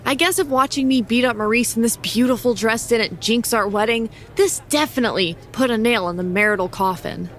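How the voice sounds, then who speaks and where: A sarcastic young female wrestler, voiced by a woman in a video game cutscene. She talks in a dry, wry way with a slightly high voice, over a faint bed of ambience. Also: fairly quick, few pauses, slight background noise, close to an everyday voice.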